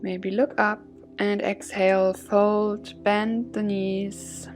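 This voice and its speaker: A woman leading a guided yoga and gratitude class as instructor, soothing and measured in her phrasing. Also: mid-range voice, level tone, soft background music. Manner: soothing, gently measured phrasing with breath cues.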